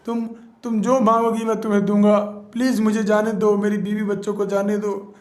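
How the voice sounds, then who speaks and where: A man voicing a frightened man who begs in a fast, high-pitched voice to save his family. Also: darkish tone, natural voice. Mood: pleading. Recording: echoey room.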